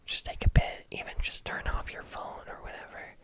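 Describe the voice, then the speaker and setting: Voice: normal speed, a bit bright. A young adult ASMR creator in a close-mic whisper, chatting loosely with lots of fillers and often losing the thread. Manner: rambling and loose, heavy on "like" and "um" fillers; often loses the thread of the words. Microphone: close-up mic, near enough to pop.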